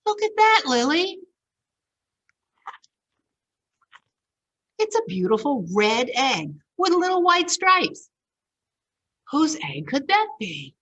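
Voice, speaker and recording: A curious little boy in a picture-book story, as a woman reading aloud puts him on, his voice jumping high with eager wonder. He sounds bright and keen, lifting the end of each phrase as he points things out. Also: sing-song, measured pace, recorded from a distance, lo-fi sound, heavily acted. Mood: excited. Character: small boy, curious and excited.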